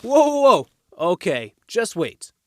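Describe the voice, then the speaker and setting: A glib, nervous teenage boy in an audio drama, acted by a man, with a high voice that jumps around as he makes excuses. The recording is dry and close. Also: wildly swooping pitch, slow pace, darkish tone, no echo, full character voice.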